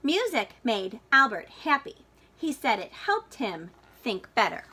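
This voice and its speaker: A woman with a high, very lively voice reads a children's storybook aloud in the manner of a teacher. Her tone is bright and clear-cut, and she leans on important words, stretching them out for young listeners. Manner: expressive, deliberate emphasis with drawn-out key words. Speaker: teacher reading a picture book aloud.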